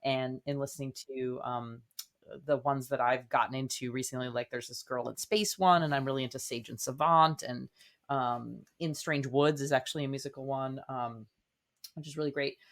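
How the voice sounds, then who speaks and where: A woman talks as a guest writer in a relaxed interview. Her delivery is earnest and reflective, wandering from one thought to the next as she explains. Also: extremely low pitch, moderate pace. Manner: earnest, meandering. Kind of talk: casual, reflective interview talk.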